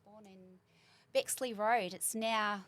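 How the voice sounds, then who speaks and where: A woman in her forties with a New Zealand accent chats as a guest on a small studio podcast. She talks warmly and rambles as she recalls things, with plenty of casual fillers. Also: medium pitch, fairly even intonation, slow pace. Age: 40s. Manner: warm, chatty, rambling recollection. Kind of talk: casual interview chat.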